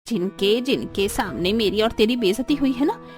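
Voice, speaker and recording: A woman voicing an arrogant, sharp-tempered older mother-in-law in a cartoon story, haranguing indignantly with rhetorical questions, over music. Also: on the high side, lively intonation, normal speed, pitched below the performer's own voice, natural voice. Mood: indignant, scolding. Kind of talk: Hindi cartoon story voice acting.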